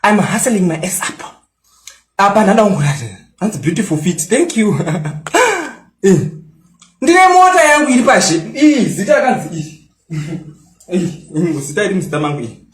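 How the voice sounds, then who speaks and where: A young Zimbabwean man with a very high voice, taunting and boasting as he fires back at a critic. He switches into English often. The sound rings around an echoey room. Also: expressive, normal speed, long pauses. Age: 20s. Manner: mixes English into local-language talk. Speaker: social media personality. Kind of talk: angry response rant.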